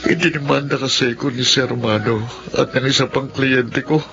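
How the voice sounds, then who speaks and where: A man's voice: medium pitch, steady tone, moderate pace, gravelly, natural voice. A man playing a grieving, guilt-ridden widowed father in a radio drama. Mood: remorseful. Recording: dull lo-fi audio. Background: background music.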